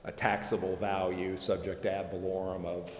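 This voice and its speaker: A man explaining finance details at a city committee meeting, measured and full of fillers and restarts. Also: deep voice, level tone. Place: council chambers. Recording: echoey chamber with long reverb, faint noise.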